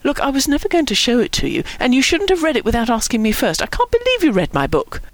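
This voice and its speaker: Woman playing an indignant boy, animated and emphatic. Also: mid-range voice, a little fast, no echo, lightly acted. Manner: protesting, emphatic. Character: indignant, boastful, defensive boy.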